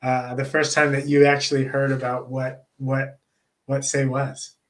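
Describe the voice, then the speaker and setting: A man who stutters, interviewing a guest in a slow, supportive way with many fillers and repeated words. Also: mid-range voice, completely flat delivery. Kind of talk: casual interview.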